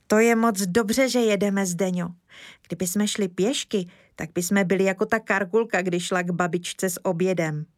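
A woman's voice: fairly even intonation, no echo, clean studio sound, close to an everyday voice. A woman voicing an eager, chatty little boy in a storybook reading. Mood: chatty excited. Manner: eager, rushing, raised pitch.

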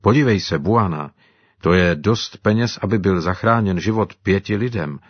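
A man voicing a chatty African hospital helper who talks in a lively, reminiscing way. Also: sits low, breathy edge, no room sound, lo-fi sound.

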